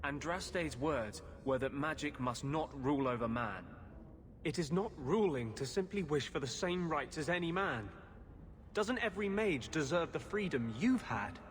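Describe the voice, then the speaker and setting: A man's voice: a little high, lively intonation, normal speed, a little hiss or hum, natural voice. A man playing an earnest, idealistic and bitter rebel mage in a video game, with a confiding manner. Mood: impassioned, bitter. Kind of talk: dramatic video game acting.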